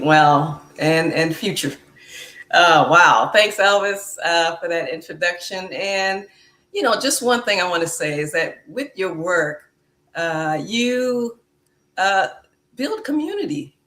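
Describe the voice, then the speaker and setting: A woman's voice: fairly low pitch, expressive. A woman hosting her podcast in a casual chat, speaking with frequent fillers and restarts. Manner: slow, warm-hearted, with many fillers. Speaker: podcast host. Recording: echoey room.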